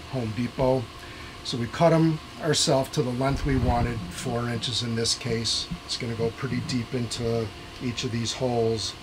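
Older man explaining over workshop noise. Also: medium pitch. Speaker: woodworking demonstrator. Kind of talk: casual hands-on explanation. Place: small home workshop shed with open door. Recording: clear background noise, workshop hum.

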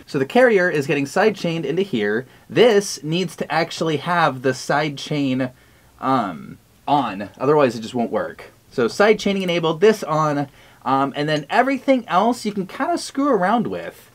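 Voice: mid-range voice, very animated, normal speed. A host giving a casual music tutorial with a playful, upbeat, informal way of talking. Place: small cluttered home music studio room.

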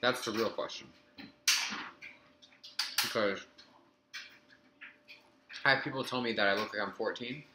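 A young man in his twenties with a lowish voice talks to his viewers in a rambling, chatty way, hopping from topic to topic. He sounds a little distant in a roomy, echoey space with steady background noise behind him. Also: measured pace, pauses often. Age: 20s. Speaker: live streamer. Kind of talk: casual live-stream chat.

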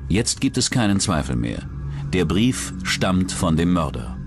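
Low-voiced male true-crime narrator. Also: very animated, measured pace, creaky. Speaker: documentary narrator. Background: music.

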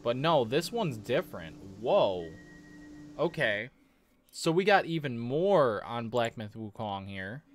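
A young man giving casual, excitable reaction commentary as a gaming streamer, often repeating words. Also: medium pitch, takes it slowly. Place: small cluttered gaming room at home. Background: game trailer music.

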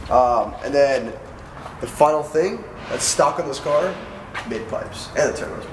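A man's voice: medium pitch, expressive, a little slow. A young man chatting casually in a car shop, joking and laid-back about car parts. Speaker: friend and car mechanic. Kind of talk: casual chat. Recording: roomy garage with clear noise.

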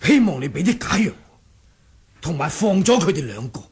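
Cunning martial monk for 1980s radio drama: rather high, lively pitch, deliberate and sly, husky. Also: male voice, heavily acted. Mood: earnest bargaining. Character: cunning martial monk who feigned muteness, scheming and composed.

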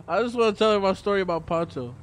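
A man's voice: high voice, brisk, slight background noise. Playful, slangy man streaming. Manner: laughing, slangy, playful boasting. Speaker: streamer playing a roleplay character. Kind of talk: casual roleplay banter.

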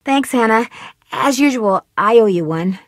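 A bright, curious teenage girl detective tosses off playful quips as she pokes around a video game. Her fairly high voice leaps up and down with lots of life. The sound is close and dry, with no room around it. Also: animated, measured pace, no echo. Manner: upbeat, playful quips to herself. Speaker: game protagonist.

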